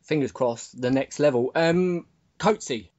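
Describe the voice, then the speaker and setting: A man's voice: medium pitch, a little slow, darkish tone. Male podcast host, lo-fi sound. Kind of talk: casual sports podcast chat.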